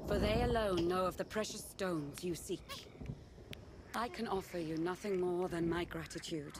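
An actress playing a solemn, mysterious fortune teller in a video game, speaking with reverent, dramatic pauses. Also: female voice, mid-range voice, noisy background, close to an everyday voice. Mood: grave, grateful. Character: mysterious solemn female fortune teller reading visions from skulls. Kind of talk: theatrical video game character acting. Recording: loud music under the voice.

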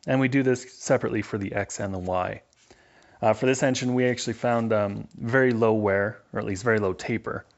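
A man's voice: lowish voice, fairly even intonation, fairly quick, muffled recording. A man giving a casual, matter-of-fact instructional voice-over as a hands-on mechanic.

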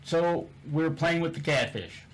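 A man's voice: a little high, expressive, measured pace, rough recording. A man casually recounting a fishing trip over photos, rambling with many fillers and repeated words.

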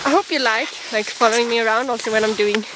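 Giggly Swedish woman vlogging on skis. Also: fairly high pitch. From southern Sweden. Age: young adult. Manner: giggly, chatty, halting English with fillers. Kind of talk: casual vlog while cross-country skiing. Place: snowy forest trail outdoors. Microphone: camera on a ski pole at arm's length. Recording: outdoor wind and pole scratch noise.

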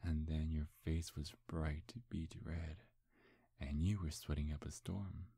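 Guy doing a smug demon seducer for asmr, super deep breathy husky voice, slow hypnotic teasing, close and dry. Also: flat delivery, mellow, no room sound, heavily acted. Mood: teasing, seductive. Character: seductive, smug male demon posing as a handsome gentleman. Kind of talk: ASMR roleplay, intimate slow acting.

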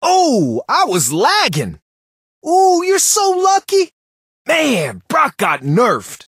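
A cocky young brawler in a video game. His voice is high and swings wildly in pitch. He delivers brash, hyped catchphrases with long, drawn-out timing and a dry, close sound. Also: wildly swooping pitch, no room sound, exaggerated voice. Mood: sore loser. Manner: brash, slangy, exclamatory shouting. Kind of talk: video game character voice lines.

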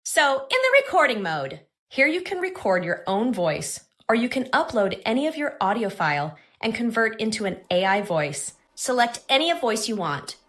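Woman narrating a tutorial, very varied pitch. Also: sing-song, moderate pace, fairly bright tone. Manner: instructional, step by step. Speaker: tutorial presenter. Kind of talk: scripted software tutorial narration.

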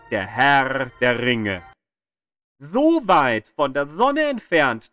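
Guy doing an educational audio tour, kinda high voice, measured storytelling, very dry sound. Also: a bit bright, dry recording. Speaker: narrator of an audio tour of the solar system. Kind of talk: scripted educational narration. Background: music.

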